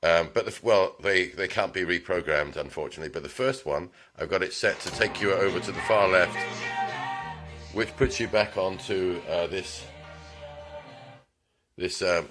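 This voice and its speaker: A man demonstrating a car radio in his small repair shop, talking casually, with ums and throat clearing. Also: sits low, normal speed, long pauses. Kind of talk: casual hands-on product demonstration. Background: car radio playing music.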